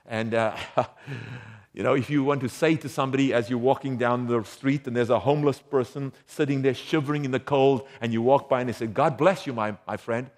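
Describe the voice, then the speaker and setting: A man's voice: mid-range voice, fairly even intonation, fairly quick, darkish tone. A man from South Africa preaching a sermon, quizzing the congregation with questions and chuckling between his points. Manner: engaging, quizzes the audience with questions.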